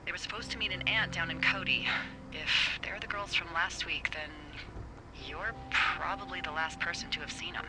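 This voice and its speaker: A woman's voice: lowish voice, moderate pace. A woman playing a wry, teasing fire lookout supervisor in a video game, talking playfully over a walkie-talkie. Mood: serious, guarded. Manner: playful, sardonic. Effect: walkie-talkie radio. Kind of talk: naturalistic video game voice acting. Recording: radio-filtered voice with clear background noise.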